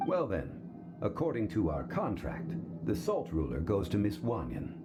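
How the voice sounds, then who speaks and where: Stately scholar, very deep, formal, music under. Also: male voice, huge pitch swings. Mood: stern. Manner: measured, formal, authoritative. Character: calm, learned man, ancient and dignified. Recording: game cutscene over music.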